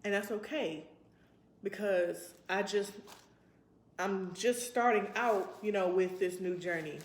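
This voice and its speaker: A woman's voice: medium pitch, takes it slowly. A woman in her twenties chatting casually to her vlog audience in a rambling, reflective, informal way. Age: 20s. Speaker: vlogger. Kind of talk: casual chat to camera. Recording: roomy, echoey sound.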